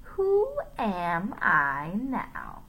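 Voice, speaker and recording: A woman's voice: mid-range voice, huge pitch swings, drawn-out words, a bit bright, breathy edge, exaggerated voice. A woman voicing a mean, sneering male teacher with a sore-throated, sick-donkey French voice in a storybook reading. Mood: taunting, slow. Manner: taunting, menacing.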